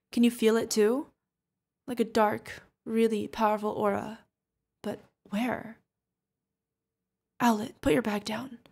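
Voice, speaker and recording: A woman's voice: on the high side, gravelly, a little mellow. A woman playing a protective older witch mentor, fond, teasing and stern, in an ASMR fantasy roleplay. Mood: wary, protective. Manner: affectionate teasing, mock-exasperated. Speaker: voice actor.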